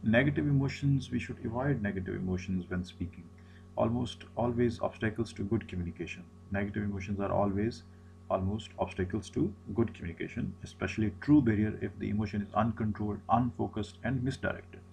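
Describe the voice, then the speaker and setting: A man's voice: lowish voice, fairly quick, vocal fry, a little mellow, a little hiss or hum, poor audio. A man, an Urdu speaker, giving a recorded lecture, often repeating himself and restarting phrases. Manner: repetitive, many restarts and fillers.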